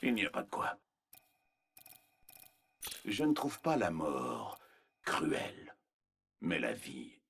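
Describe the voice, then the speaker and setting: A very low-pitched cold scientist villain for a video game. His voice is husky and he speaks slowly, with clinical condescension and quiet menace. The delivery swings widely in pitch while staying arrogant and measured. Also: wildly swooping pitch, full character voice. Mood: detached menace. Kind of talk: scripted video game character voice lines.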